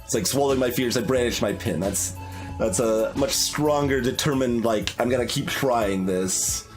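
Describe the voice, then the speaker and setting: A man hosting a game playthrough, talking through his thoughts with many pauses and restarts. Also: mid-range voice, soft background music. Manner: thinking aloud, many fillers and restarts. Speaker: let's play host. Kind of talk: casual game commentary.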